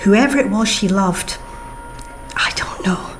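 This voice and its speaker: An actress playing an intense, probing psychic medium in a radio drama, with a halting, portentous manner. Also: female voice, mid-range voice, faint music behind, close to an everyday voice.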